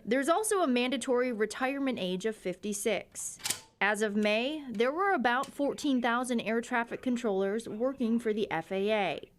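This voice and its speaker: A woman's voice: on the high side, lively intonation, normal speed, a little hiss or hum. An American woman narrating a scripted news report as a reporter.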